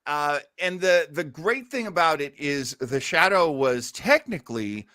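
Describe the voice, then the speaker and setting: A man's voice: fairly high pitch, expressive, measured pace, sharp tone, no echo. Male podcast host, enthusiastic rambling storyteller, casual delivery, dry close recording. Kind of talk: casual chat about old time radio.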